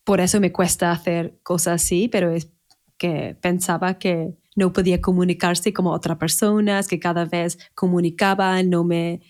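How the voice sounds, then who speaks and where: A woman in her thirties from England, a native English speaker with an English accent, talking casually as a podcast guest and often pausing to search for words. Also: lowish voice, level tone, a little mellow. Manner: searching for words, restarts in a second language. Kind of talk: casual conversational interview.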